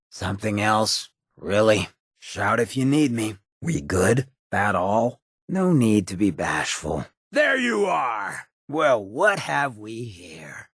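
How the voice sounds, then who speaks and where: An actor voicing a sardonic, streetwise ghoul town boss for a video game, with a gravelly rasp and a sly, slangy delivery. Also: male voice, medium pitch, a bit bright, dry recording, clean studio sound, full character voice. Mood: curt, then combat taunting. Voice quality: gravelly, raspy. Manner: sly, laid-back, slangy. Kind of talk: video game companion voice lines.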